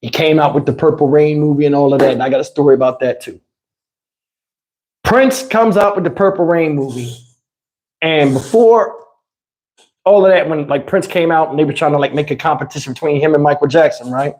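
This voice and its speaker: A man telling personal stories in a casual, animated, slangy way, swearing freely and acting out what people said. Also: on the high side, brisk, rough recording. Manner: acts out dialogue and sound effects. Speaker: storyteller host. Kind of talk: animated casual personal storytelling with acted quotes.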